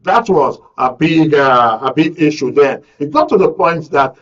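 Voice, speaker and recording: A Nigerian man hosts a live current affairs programme in a fairly high voice. He speaks deliberately, using rhetorical questions and repeated phrases to press his points. The audio is slightly dull and lo-fi. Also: lively intonation, fairly bright tone. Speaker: radio talk show host.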